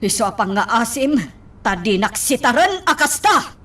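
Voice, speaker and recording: A defiant, hot-headed wife in a radio drama. The voice is high and lively in pitch, with a snappy, argumentative edge. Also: female voice, moderate pace. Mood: defiant, irritated. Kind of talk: Ilocano radio drama acting.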